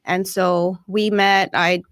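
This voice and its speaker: A woman's voice: mid-range voice, little pitch movement, takes it slowly, fairly bright tone, dry recording, studio quality. A woman in her thirties, originally from India, chatting as a guest on a radio talk show, laughing often. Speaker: guest, realtor. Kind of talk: casual radio interview.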